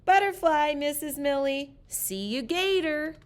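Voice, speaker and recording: Playful storybook schoolchildren, voiced by a woman, loud and insistent, high pitch, slow drawn-out emphasis on corrections. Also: lively intonation. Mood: playful, emphatic. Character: giggling young pupils correcting their silly teacher in chorus.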